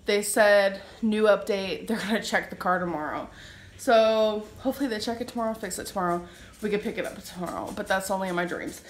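A woman's voice: mid-range voice, roomy sound. A young woman vlogging casually at home in her kitchen, talking into a handheld phone camera and laughing easily. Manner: chatty, quick to laugh. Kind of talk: casual home vlog chat.

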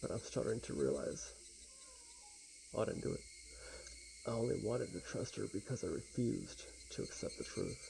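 Young male let's-player, very creaky quiet voice, laid-back murmur with lively pitch swings, noisy room recording. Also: moderate pace, long pauses. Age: young adult. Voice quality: gravelly, quiet, often breathy. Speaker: let's play streamer. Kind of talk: casual gameplay chat and soft reading aloud of game text. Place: small indoor room with blinds.

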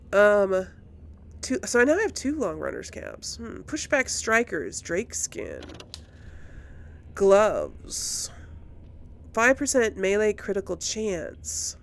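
A woman's voice: mid-range voice, huge pitch swings, takes it slowly, creaky, long pauses, a little hiss or hum. A woman hosting a casual video game let's play, thinking aloud as she goes. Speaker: gameplay host. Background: video game sounds.

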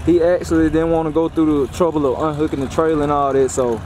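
A man's voice: fairly high pitch, little pitch movement, quick pace. A man with a Southern American accent giving casual, informal updates straight to viewers as host, repeating himself as he goes, outdoors at a drag strip. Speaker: host filming drag racing. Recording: loud engine noise outdoors.

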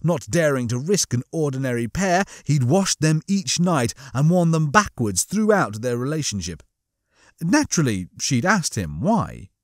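A man reading comic fiction as a scripted audiobook, with a theatrical, expressive storytelling manner. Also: a little high, moderate pace, dry recording, clean studio sound. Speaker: audiobook narrator.

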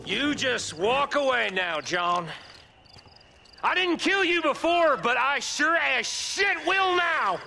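An actor playing an arrogant, hostile outlaw gang leader in a video game, sneering, with an American accent. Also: male voice, extremely high pitch, sing-song, gravelly, sharp tone, soft background music, heavily acted. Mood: threatening. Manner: slow, sneering. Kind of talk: video game cutscene acting.

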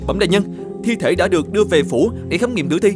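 A man voicing a deferential officer in an animated folk tale, briskly reporting to his superior. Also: high-pitched, over music. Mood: dutiful, earnest. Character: dutiful, deferential male officer serving the governor.

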